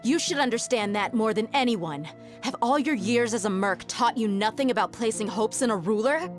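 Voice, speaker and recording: A woman's voice: fairly high pitch, expressive, moderate pace, music in the background, lightly acted. An actress playing a blunt, hard-headed mercenary woman in a video game, speaking firmly and curtly with reproach. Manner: firm, curt, reproachful.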